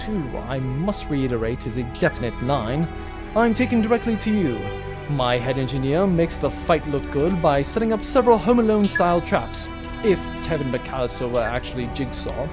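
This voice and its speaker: A man's voice: fairly high pitch, big pitch swings, moderate pace, over music, pitched below the performer's own voice. Man playing a smug swaggering space captain. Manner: cocky, theatrical bragging. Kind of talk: comedic audio adventure serial acting.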